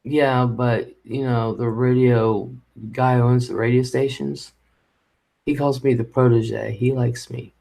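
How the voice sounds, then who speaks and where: A man at mid pitch, musing out loud on a home microphone as he hosts a relaxed live chat. He wanders through his thoughts with frequent fillers and restarts. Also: gravelly, rough recording. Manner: halting and rambling, with many fillers. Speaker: live stream host.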